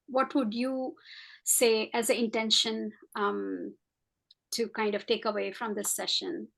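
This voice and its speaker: A woman life coach leading a coaching dialogue, asking gentle questions with soft fillers. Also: a little high, little pitch movement, unhurried, gravelly, low-quality recording. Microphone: video call.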